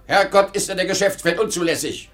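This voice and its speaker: A man's voice: sits high, a bit bright. An older man in a 1950s German radio drama playing an aging, domineering salesman father, lecturing and emphatic. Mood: lecturing, insistent. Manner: lecturing, emphatic, quick to flare up.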